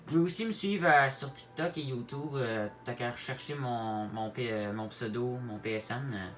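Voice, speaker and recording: A man with a Québécois French accent giving a casual tutorial to viewers, halting and repeating his words as he goes. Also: mid-range voice. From Quebec, Canada. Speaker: gaming video creator. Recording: clear background noise, home-made recording.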